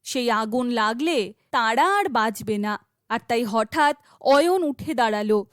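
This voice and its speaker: High-voiced woman narrating slowly. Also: animated, fairly bright tone, dry recording. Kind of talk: scripted fairytale narration.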